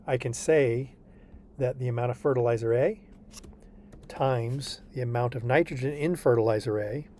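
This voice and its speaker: A man's voice: medium pitch, mellow, slight background noise. Patient tutor man checking in often. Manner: patient and reassuring, checks in with frequent "okay?". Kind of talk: online tutoring session walking through homework.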